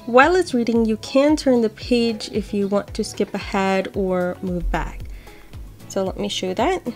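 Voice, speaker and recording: A young adult woman explains app steps in a casual tutorial style. Her voice sits at a middle pitch. She records indoors in a home office, with soft music underneath. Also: unhurried. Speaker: host of accessibility tutorial videos.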